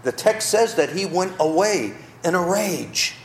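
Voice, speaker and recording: A man's voice: sits high, expressive, measured pace, reverberant, a little hiss or hum. A male pastor preaching a sermon, telling the story with rhetorical questions, in a church.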